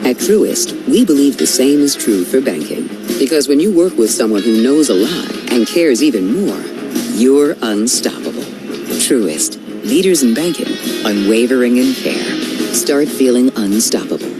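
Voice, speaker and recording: A man's voice: medium pitch, lively intonation, a little slow. An American man reading scripted narration as a commercial voice-over announcer. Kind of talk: scripted bank advert narration. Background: plucked guitar music.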